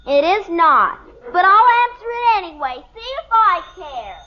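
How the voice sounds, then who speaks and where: Whiny little american girl, super high voice, slow drawn out, old muffled radio. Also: very animated, heavily acted. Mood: defiant. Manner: teasing, whiny, persistent. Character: pesky, literal-minded little girl, youngest daughter. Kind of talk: 1950s radio sitcom acting. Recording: noisy dull old radio recording.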